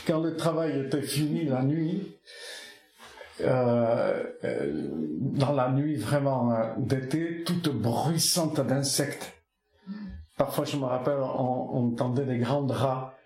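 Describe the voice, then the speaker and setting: A man's voice: medium pitch, lively intonation, roomy sound. A man from the Basque Country whose first language is Basque, being interviewed and reminiscing in a reflective, poetic way, often stopping to restart his phrases. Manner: soft-spoken, poetic, halting with many restarts. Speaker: artist interviewed.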